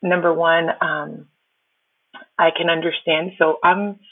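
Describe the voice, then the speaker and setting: A woman's voice: fairly low pitch, little pitch movement, husky, dry recording. Woman on a tinny line. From Miami, USA. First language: Spanish. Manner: reflective, laughs often, halting with fillers. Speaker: podcast guest. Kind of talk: conversational interview.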